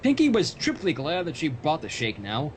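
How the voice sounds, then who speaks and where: A man's voice: wildly swooping pitch, normal speed. A man hosting a livestream, reading a story aloud and breaking off for joking asides. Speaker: livestream host reading fan fiction aloud. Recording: noisy livestream audio with low rumble.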